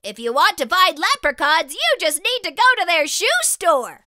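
A woman's voice: very high voice, very animated, normal speed, bright, forceful tone, no echo, clean studio sound, exaggerated voice. A woman voicing a small, curious, childlike spot creature who eagerly asks lots of questions in a children's storybook read-aloud.

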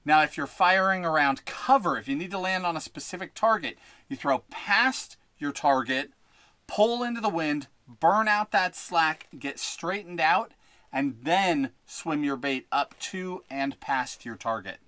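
A man's voice: on the high side, lively intonation, unhurried, pushed and bright, dry recording. A young man giving fishing tips outdoors on a windy lakeshore, talking in an instructive, upbeat way into a head-mounted camera. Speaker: fishing vlogger. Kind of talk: outdoor tutorial vlog. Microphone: head-mounted action camera.

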